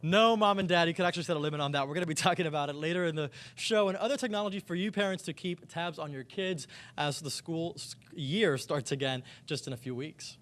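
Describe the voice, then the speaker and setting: A man's voice: on the high side, fast, hardly any pauses, a bit bright. A man reporting live for a morning TV show, chatting enthusiastically as he demonstrates things. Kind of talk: live TV segment, chatty product demo.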